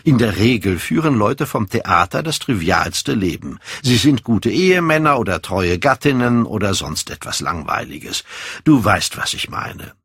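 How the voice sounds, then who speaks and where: A male reader plays a vain, self-absorbed young man in an audiobook. His delivery swings widely in pitch, haughty and declamatory, with a slightly husky grain. The sound is close and dry. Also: sing-song, a little slow, fairly bright tone, dry recording, heavily acted. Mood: passionate, self-absorbed. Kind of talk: dramatic audiobook character reading.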